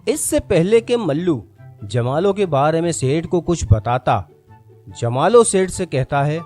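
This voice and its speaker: A male narrator reads a scripted story. His voice sits slightly high, and music plays behind him. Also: lively intonation, darkish tone.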